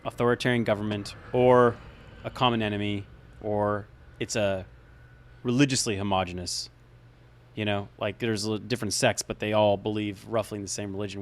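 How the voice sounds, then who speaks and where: A man's voice: on the low side, steady tone, normal speed. A man co-hosting a podcast in casual chat, thinking aloud hesitantly with many restarts. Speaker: podcast co-host.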